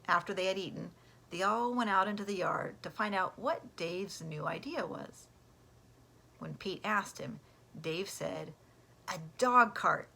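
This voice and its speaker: A woman reading a children's storybook aloud like a teacher, in an expressive way that acts out the characters. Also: mid-range voice, a little slow, creaky. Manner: animated, acts out character voices.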